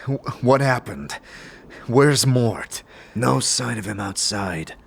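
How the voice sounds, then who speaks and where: An actor playing a terse, shaken wanderer in a fantasy audio drama, speaking in a halting, blunt way. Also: male voice, animated, creaky, breathy edge, full character voice. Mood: shaken, confused. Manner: halting, blunt, low-key. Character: shaken, terse immortal wanderer searching for his stolen companion. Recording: noisy ambient sound bed behind voice.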